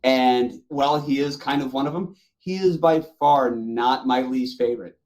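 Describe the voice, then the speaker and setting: A man with a mid-pitched voice talks as a podcast host among fellow panelists. His delivery is conversational and enthusiastic, leaning emphatically on his opinions about films. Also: moderate pace, a little mellow.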